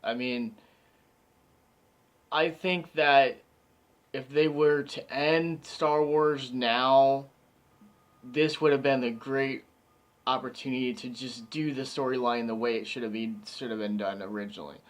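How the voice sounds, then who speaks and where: A man giving a casual, rambling movie review, excited and slightly hoarse from talking so much. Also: mid-range voice, unhurried, long pauses. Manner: enthusiastic, rambling with many fillers. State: slightly hoarse, losing his voice.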